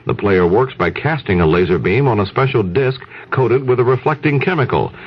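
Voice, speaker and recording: A man's voice: mid-range voice, lively intonation, moderate pace, slightly rough. An American man reading a scripted news bulletin as a radio anchor, on a dull old 1970s radio broadcast.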